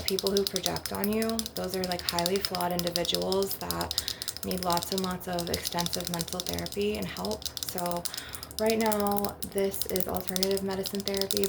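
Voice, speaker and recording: A woman's voice: fairly low pitch, gravelly. A woman giving a rambling, casual ASMR monologue as a spiritual healer, in an informal, meandering way peppered with filler phrases. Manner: informal, meandering, frequent "like" and "you know". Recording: loud clicking and tapping noise throughout. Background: crystal and glass tapping.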